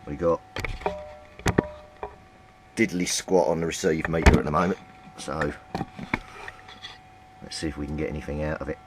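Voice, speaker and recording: Deep-voiced man muttering over a repair. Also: steady tone, normal speed, pauses often, very noisy. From Britain. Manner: muttering, thinking aloud while tinkering. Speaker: radio repair hobbyist. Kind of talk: casual repair commentary.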